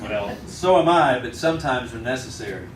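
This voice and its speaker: An actor playing a watchful, plainspoken US marshal with an American accent in an old-time radio western. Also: male voice, medium pitch, moderate pace, a little hiss or hum, natural voice. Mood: easygoing, dry. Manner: steady, plainspoken, dry. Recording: echoey, distant old radio recording.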